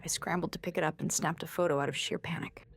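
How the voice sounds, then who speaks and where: A woman playing a frightened young American student, giving a hushed, breathy confession in a horror film monologue. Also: low voice, fairly even intonation, quick pace, soft background music, heavily acted. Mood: shaken, dread. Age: young adult. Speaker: narrator of found-footage horror film. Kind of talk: first-person horror monologue, confessional recording. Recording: very noisy found-footage style recording, ambient hiss.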